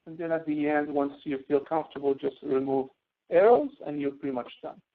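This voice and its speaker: A man teaching a spreadsheet lesson online, explaining informally and a little haltingly. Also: on the high side. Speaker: instructor. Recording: tinny phone-like audio.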